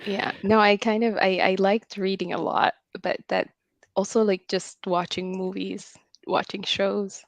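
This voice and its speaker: A woman with an Arabic accent calling into a livestream, talking haltingly with many pauses and small laughs. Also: medium pitch, little pitch movement, no room sound. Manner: hesitant, with frequent laughs and ums. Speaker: caller. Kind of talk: casual call-in chat.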